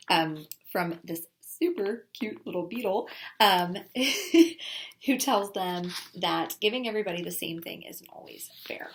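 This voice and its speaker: A woman casually presenting book recommendations in an enthusiastic, gushing way. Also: on the low side. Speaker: children's book reviewer. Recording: clearly noisy recording with clicks.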